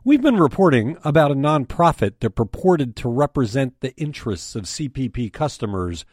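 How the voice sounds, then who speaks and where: An American man delivers a scripted news briefing in the role of editor and host. His pitch is animated and moves widely through each sentence. The sound is dry, with hardly any room to it. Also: no echo.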